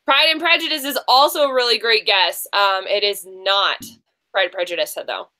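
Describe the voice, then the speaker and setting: A woman's voice: mid-range voice, moderate pace, bright, forceful tone. A woman hosting a casual online book quiz in a chatty, encouraging way. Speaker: quiz show host.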